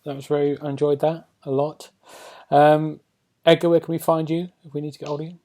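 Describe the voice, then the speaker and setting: A man's voice: fairly high pitch, completely flat delivery, a little fast, a little mellow. A man hosting a podcast, chatting casually about games and television in a rambling, enthusiastic way. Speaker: podcast host.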